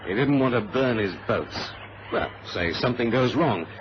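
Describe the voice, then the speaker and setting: A man acting as a suspicious, determined airline man in a vintage radio adventure. His delivery is emphatic and swings widely in pitch as he thinks aloud in halting bursts. The recording is muffled, with plenty of noise over it. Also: lively intonation, close to an everyday voice.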